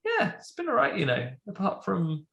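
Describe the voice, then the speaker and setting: Guy with a cold, kinda snuffly, gravelly voice, roomy echo, rambles and restarts a lot. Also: high-pitched, wildly swooping pitch, normal speed, fairly bright tone, muffled recording. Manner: rambling, self-interrupting. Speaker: podcast co-host. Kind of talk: casual chat.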